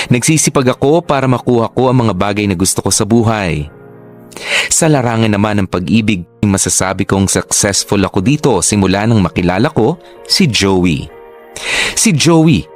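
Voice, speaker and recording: Isang lalaking tagapagsalaysay ng dramang panradyo na taimtim na nagkukuwento ng buhay ng isang dalaga sa unang panauhan. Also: male voice, lowish voice, lightly acted. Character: narrator reading a young woman's earnest life story. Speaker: radio drama narrator. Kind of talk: scripted first-person story narration.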